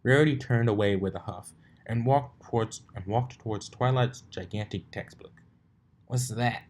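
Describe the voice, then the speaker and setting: Low-pitched man reading a story aloud. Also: moderate pace, darkish tone, a little hiss or hum. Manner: stumbles and rereads phrases. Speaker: fan fiction reader. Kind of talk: story narration read aloud.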